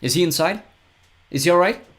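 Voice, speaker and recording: A man voicing a friendly, calm young man who speaks plainly. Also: sits high, brisk, pitched above the performer's own voice.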